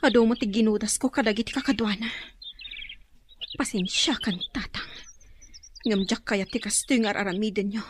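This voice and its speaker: A woman's voice: medium pitch, fairly even intonation, normal speed, long pauses, close to an everyday voice. A woman playing a determined young village daughter in a radio drama, with an Ilocano Filipino accent. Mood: troubled, secretive. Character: secretive, determined young daughter torn over her father. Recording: noisy background with birdsong effects.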